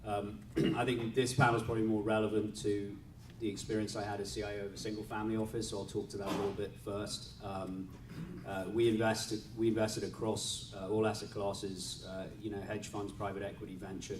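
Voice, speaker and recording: Man on a panel, low flat voice, measured talk, echoey room far from the mic. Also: background noise. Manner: measured, some fillers. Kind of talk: panel discussion.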